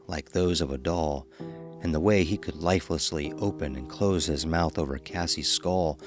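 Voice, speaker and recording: Very deep male horror narrator. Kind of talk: scripted narration of a horror story.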